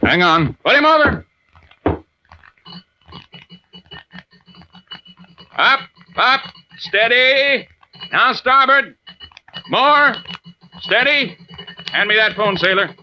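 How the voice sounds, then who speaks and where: An actor playing a steady, commanding navy diving officer and narrator in an old-time radio adventure, with clipped, reassuring authority. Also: male voice, very high-pitched, slow pace, pauses often, heavily acted. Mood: urgent, barking orders. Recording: noisy, dull old-time radio recording.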